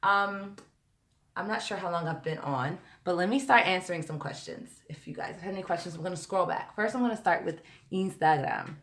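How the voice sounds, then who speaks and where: A woman vocal coach with a slightly hoarse, tired voice, chatting directly to viewers during a live-stream lesson and answering their questions. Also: on the low side, animated, fairly quick, fairly bright tone. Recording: roomy echo.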